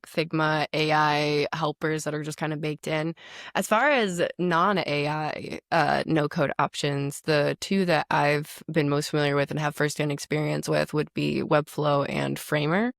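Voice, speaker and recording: A woman with a raspy, deep voice, chatting casually as a podcast guest and laughing often. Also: no echo, studio quality. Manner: chatty, laughs often, uses many fillers.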